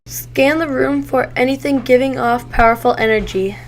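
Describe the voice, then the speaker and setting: A woman playing a brisk, practical young superheroine in an amateur audio drama. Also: sits high. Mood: businesslike.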